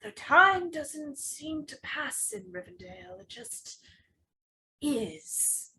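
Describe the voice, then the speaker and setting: A woman acting an elderly male hobbit uncle, fond, wistful and weary, halting and sometimes hushed, in a script table read. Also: high-pitched, big pitch swings, exaggerated voice, rough recording.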